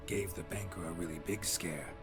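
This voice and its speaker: An actor playing a stoic, world-weary monster hunter in a video game, terse and dryly wry. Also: male voice, low voice, moderate pace, over music, natural voice. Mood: measured, persuasive. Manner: deadpan, terse.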